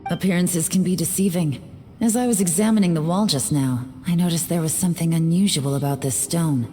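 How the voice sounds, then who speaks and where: A woman's voice: fairly low pitch, fairly quick, warm tone, in a large hall, soft background music, natural voice. A woman playing a cool, self-possessed investigator in a video game, observant and understated. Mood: calm, assured.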